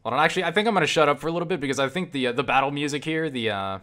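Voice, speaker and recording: A man giving casual, profane gameplay commentary, enthusiastic but halting, often restarting his phrases. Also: fairly high pitch, pushed and bright. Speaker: gamer and game reviewer. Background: game music and sound.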